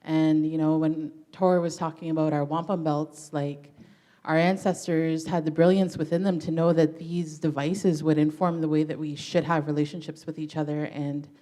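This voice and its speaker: A woman's voice: low voice, flat delivery, moderate pace, warm tone. A woman from Ontario, a panelist earnestly introducing herself, with some fillers. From Ontario, Canada.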